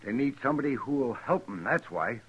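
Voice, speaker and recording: A man's voice: medium pitch, sing-song, a little fast, natural voice. An actor playing a stubborn, distrustful frontier father in a 1950s radio western, speaking in a blunt, folksy rustic way. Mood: stubborn, accusing. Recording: dull, hissy 1950s radio recording.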